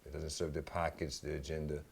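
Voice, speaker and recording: A man's voice: rumbling low, flat delivery, fairly quick, vocal fry, slightly rough. A man with a New York accent chatting casually as an interview guest, rambling and slangy, with lots of "you know" and "man". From New York City. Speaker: DJ and music teacher, radio show guest.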